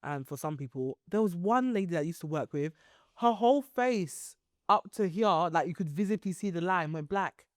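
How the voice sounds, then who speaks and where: A woman with a stuffy, blocked-up nose co-hosts a casual podcast. She talks with lively, playful energy and slips into laughter and mock voices. The sound is close and dry. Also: fairly low pitch, normal speed, dry recording. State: stuffy nose from hay fever. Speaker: podcast co-host. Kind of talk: casual chat.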